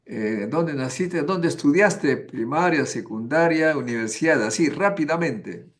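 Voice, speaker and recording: A man's voice: fairly high pitch, expressive, measured pace. A man from Peru hosting a science interview program, chatty and friendly with his guest. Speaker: host.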